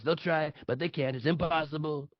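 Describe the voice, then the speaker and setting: A man's voice: on the high side, fairly even intonation, fairly quick, dry recording, lightly acted. A man playing a boastful, blustering older male politician in a live table read of a satirical play, blunt and fond of superlatives. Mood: defiant, boastful. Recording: dull lo-fi audio.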